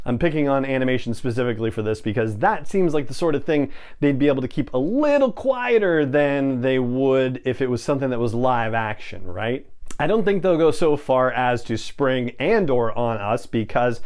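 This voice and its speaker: A man's voice: medium pitch, a little mellow, smooth. A man hosting a podcast solo, giving fan news commentary in a conversational way with chatty asides. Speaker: podcast host.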